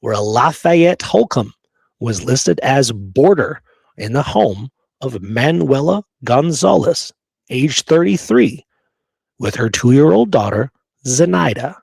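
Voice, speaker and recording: A man's voice: mid-range voice, big pitch swings, slow pace, creaky, darkish tone, husky, no echo. A man hosting a history show, presenting research emphatically, partly from prepared notes, often checking in with listeners. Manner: emphatic, checks in with rhetorical right and okay.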